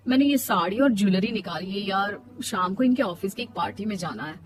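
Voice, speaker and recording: A woman's voice: mid-range voice, expressive, brisk, noisy background, close to an everyday voice. A woman playing a cheerful, friendly young female friend in a cartoon story, chatting casually. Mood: friendly, chatty. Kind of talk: Hindi cartoon moral story acting. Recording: music behind the voice.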